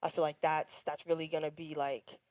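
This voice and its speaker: Young woman, halting casual podcast guest. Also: deep voice, little pitch movement, darkish tone, no echo. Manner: halting, restarts sentences, casual slang. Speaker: guest musician.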